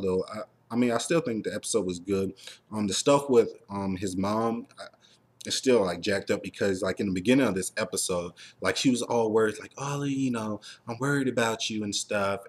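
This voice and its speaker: A man's voice: lowish voice, husky. A man hosting a casual review chat, rambling along and peppering his speech with filler words. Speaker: host of a TV review show.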